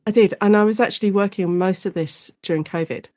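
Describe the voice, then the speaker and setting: A woman's voice: medium pitch, quick pace, mellow, no echo, clean studio sound. A British woman, a guest academic, explaining her research in an enthusiastic, chatty, conversational interview style. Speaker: guest academic specialising in audio drama.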